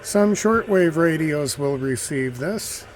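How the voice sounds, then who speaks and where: A man giving casual, unhurried, musing commentary as he demonstrates radio listening as a hobbyist host. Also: fairly high pitch, expressive. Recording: clear radio static and noise. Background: shortwave radio hiss and chatter.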